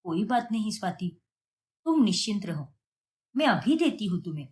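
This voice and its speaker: Woman as a nosy neighbor aunty, lowered pitch. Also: very animated, moderate pace, darkish tone, natural voice. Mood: surprised, obliging. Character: nosy but obliging middle-aged neighbor aunty. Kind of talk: Hindi moral-story cartoon voice acting.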